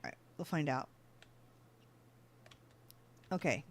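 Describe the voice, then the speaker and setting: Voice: mid-range voice, big pitch swings, takes it slowly, a little hiss or hum. A gaming channel host giving casual let's-play commentary, chatting to themselves and thinking aloud as they play.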